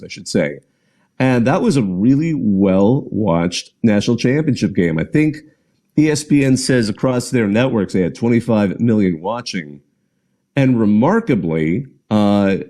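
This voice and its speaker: A man's voice: fairly low pitch, mellow. A man hosting a live call-in sports show, chatting casually and rambling with restarts and small laughs. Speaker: podcast host.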